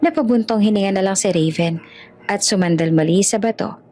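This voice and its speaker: A woman's voice: medium pitch, a little fast, darkish tone. A woman narrating a scripted romance story in a dramatic storytelling style. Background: background music.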